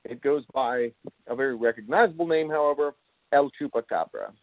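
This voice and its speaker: Male host, native English speaker, halting informal explainer, phone-like and roomy sound. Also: mid-range voice. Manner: halting, frequent filler pauses. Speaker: show host. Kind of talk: informal solo explainer monologue. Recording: roomy, phone-like tinny line.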